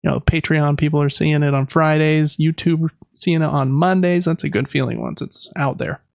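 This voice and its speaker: A man's voice: fairly high pitch, steady tone, normal speed, vocal fry, a little mellow, no room sound, lo-fi sound. A man in his thirties hosting a casual podcast, rambling along in a chatty, self-deprecating way. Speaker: podcast host. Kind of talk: casual chat about games and life.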